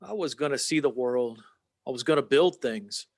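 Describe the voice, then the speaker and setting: A man's voice: medium pitch, normal speed, dry recording, clean studio sound, close to an everyday voice. A man playing an earnest, flustered young small-town family man in an old-time radio play, stammering and using folksy exclamations. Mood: downcast.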